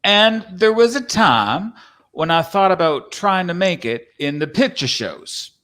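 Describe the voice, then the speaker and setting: A man's voice: high voice, lively intonation, measured pace, sharp tone, full character voice. A man playing a folksy, courtly, wistful cowboy from the Old West in a dramatic script reading. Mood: wistful confiding.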